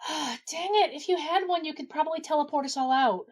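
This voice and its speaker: A woman playing a brisk, wry gnome adventurer in a comedy audio drama. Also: high voice, natural voice. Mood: wry, curious.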